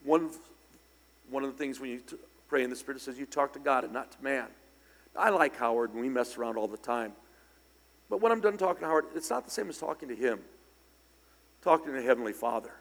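Raspy, gravelly preacher. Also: male voice, mid-range voice. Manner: conversational, anecdotal, bursts of loud emphasis. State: slightly hoarse from singing. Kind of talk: sermon. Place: church sanctuary. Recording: long hall reverb in church.

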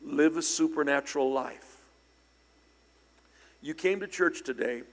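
Middle-aged male preacher, hoarse and gravelly, a touch high-pitched, anecdotal delivery with sudden loud peaks. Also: a little slow. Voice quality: raspy. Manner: conversational, anecdotal, bursts of loud emphasis. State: slightly hoarse from singing. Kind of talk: sermon. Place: church sanctuary. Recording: long hall reverb in church.

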